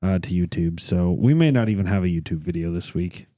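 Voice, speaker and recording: Male host, low mellow voice, easygoing and a bit halting. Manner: laid-back, halting with restarts. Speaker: podcast host. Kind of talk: casual chat.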